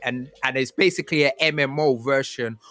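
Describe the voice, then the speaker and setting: A man's voice: mid-range voice, steady tone, a little fast, fairly bright tone, no echo. A man hosts a casual interview in slangy, encouraging talk. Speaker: podcast host. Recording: music playing behind the talk.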